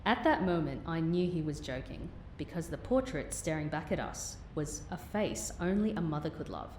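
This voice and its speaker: A woman's voice: lively intonation, normal speed, darkish tone. A woman playing a composed, wry female field agent who narrates and speaks in an audio drama. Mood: wry narration. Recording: roomy echo, faint rumbling noise.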